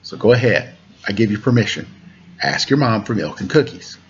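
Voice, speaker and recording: A man in his forties reading a children's picture book aloud in a playful, expressive way, with chatty asides. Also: lowish voice, a little fast. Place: room at home, indoors. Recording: muffled webcam audio with clear background noise.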